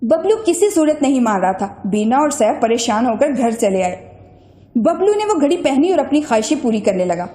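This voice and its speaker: High-pitched woman storyteller, echoey. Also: big pitch swings, normal speed, mellow. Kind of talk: scripted children's story narration. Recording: roomy echo.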